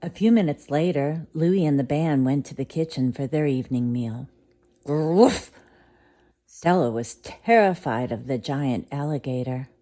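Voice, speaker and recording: Soft, low-voiced woman reading a bedtime story. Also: measured pace.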